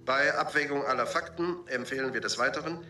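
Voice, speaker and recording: A stern male government official in a science-fiction radio play. The voice is mid-pitched and very forceful. He delivers official text with formal emphasis in a roomy studio space. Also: brisk, soft background music. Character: stern government senator reading official crisis memoranda. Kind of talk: formal read-out in a radio drama. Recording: roomy binaural studio sound.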